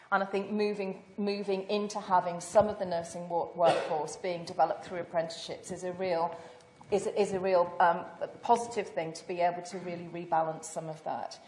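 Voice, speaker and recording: An older British woman, a senior nurse, speaks on a panel in a loud, fairly low voice. She is measured, pausing and restarting as she thinks aloud. Her words ring out in an echoey lecture hall. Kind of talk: panel answer.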